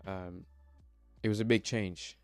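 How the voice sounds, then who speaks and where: A man's voice: sits low, fairly even intonation, slow pace, a little mellow, clear, resonant tone, no echo, soft background music. A young man from Congo, whose first language is not English, speaking in a motivational personal vlog, earnest and hesitant with many fillers and restarts. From Democratic Republic of the Congo. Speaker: vlogger.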